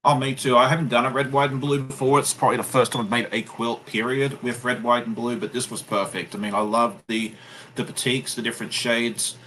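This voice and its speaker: A man's voice: medium pitch, little pitch movement, brisk, bright, forceful tone, a little hiss or hum, low-quality recording. Australian man chatting on a video call. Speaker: guest quilter. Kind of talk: casual chat on a video call.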